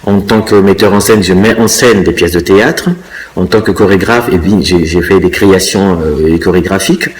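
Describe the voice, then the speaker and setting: A man in his fifties from Congo, answering interview questions thoughtfully and pausing often to find his words. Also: sits low, steady tone. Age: 50s. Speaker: interviewee, dancer and choreographer.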